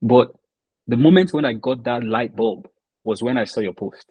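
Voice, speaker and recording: A man's voice: medium pitch, normal speed, warm tone, husky, dry recording, low-quality recording. A man hosting a podcast, talking reflectively with lots of fillers and hesitations. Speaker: podcast host. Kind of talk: casual podcast interview.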